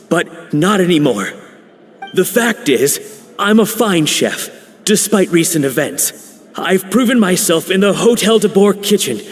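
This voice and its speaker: Brief: nervous, well-meaning dad chef, slightly high voice. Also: male voice. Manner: earnest, shaken, hesitant. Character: middle-aged anxious male chef, a father. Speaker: video game character. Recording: reverberant game audio with music.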